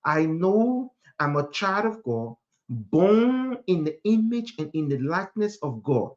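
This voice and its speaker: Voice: mid-range voice, very animated, unhurried, dull audio. A speaker from Africa preaching a motivational sermon in an emphatic manner, repeating phrases and posing rhetorical questions.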